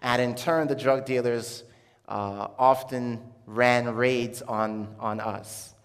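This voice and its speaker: A man's voice: mid-range voice, steady tone, slow. A man giving an informal religious testimony to an audience, telling his story conversationally and often searching for words. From Jamaica, then Brooklyn, New York from childhood. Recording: echoey hall with long reverb.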